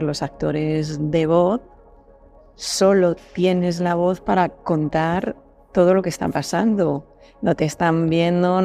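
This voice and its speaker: A woman's voice: fairly low pitch, dark tone. A woman with an accent from Spain, talking casually and expressively as a podcast guest, explaining things through examples. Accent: Spanish from Spain. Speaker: voice actress guest.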